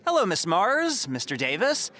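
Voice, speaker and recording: A man's voice: sits high, huge pitch swings, moderate pace, pushed and bright, heavily acted. A man playing an officious mayor's aide, dry, wordy and politely bossy, in a comedy audio drama.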